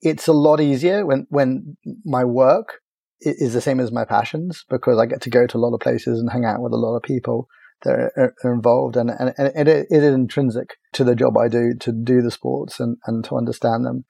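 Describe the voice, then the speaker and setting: A middle-aged man from talks through his story in a relaxed, stop-start way, his voice gravelly and mellow. He keeps an even, level tone and is heard close and dry. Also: medium pitch, no room sound. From Ireland. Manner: halting, many restarts and fillers, relaxed. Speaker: podcast guest, agency founder. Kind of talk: casual interview chat.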